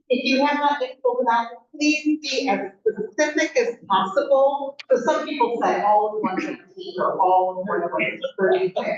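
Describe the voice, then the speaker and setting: A woman adding an earnest comment at a neighborhood meeting. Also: a little high. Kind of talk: meeting remark. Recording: very echoey, distant, muffled room audio.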